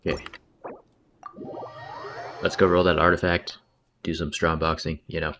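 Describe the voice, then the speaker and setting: A man's voice: low voice, flat delivery, unhurried, pushed and bright, slight background noise. A man chatting casually on a game livestream in a laid-back, slangy way, muttering asides. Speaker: game streamer. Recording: background game music. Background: video game music.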